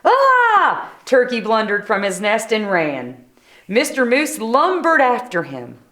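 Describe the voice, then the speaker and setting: A woman reads a children's storybook aloud. Her delivery is unhurried and very expressive, with pitch moving freely and the odd conversational aside. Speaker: storybook reader. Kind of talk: animated children's read-aloud with brief asides.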